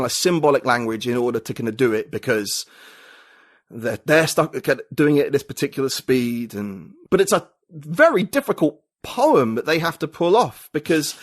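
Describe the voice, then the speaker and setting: A man's voice: fairly high pitch, very animated, normal speed. A man talking as a podcast guest, thinking aloud in a rambling, reflective way with many fillers and restarts. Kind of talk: casual conversation.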